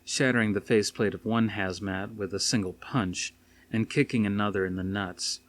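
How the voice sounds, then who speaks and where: A man's voice: fairly low pitch, fairly even intonation, normal speed. A man reading aloud as an audiobook narrator, in a dramatic scripted style. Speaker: audiobook reader. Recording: faint noise behind the reading.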